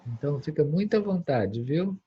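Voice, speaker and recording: A man with a Brazilian accent hosting informally, halting and hospitable as he introduces and welcomes a speaker. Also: on the high side, animated, mellow, slightly rough. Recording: dull, lo-fi internet call audio.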